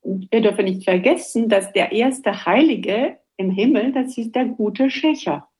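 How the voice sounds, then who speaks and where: A woman from Belgium, a professor and radio guest, speaking in a halting way as she searches for words. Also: mid-range voice, darkish tone. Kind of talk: radio discussion of scripture.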